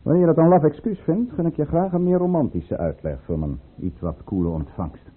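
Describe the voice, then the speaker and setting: An actor playing a commanding, guarded count and governor in an old-fashioned radio drama, with a formal, deliberate, urbane delivery. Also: male voice, a little high, very animated, husky. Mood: coolly guarded. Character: commanding, guarded male count and governor, coolly courteous schemer. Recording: muffled, phone-like old radio recording.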